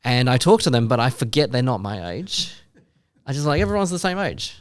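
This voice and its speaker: A man's voice: mid-range voice, sing-song, brisk, no room sound. A man around forty co-hosting a podcast, talking in a rambling, self-deprecating way and asking long questions. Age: 40s. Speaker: podcast co-host. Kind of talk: casual chat.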